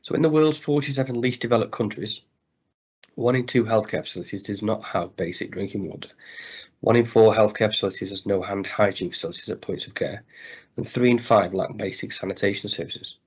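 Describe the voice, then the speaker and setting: Croaky man presenting a webinar. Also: fairly low pitch. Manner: halting, many fillers and restarts. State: croaky from a cold. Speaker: guest speaker on water hygiene. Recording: dull lo-fi webinar audio.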